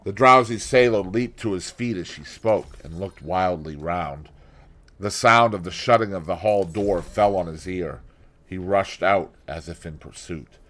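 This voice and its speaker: Low-pitched man narrating a classic novel. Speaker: audiobook narrator. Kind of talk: dramatic scripted literary narration.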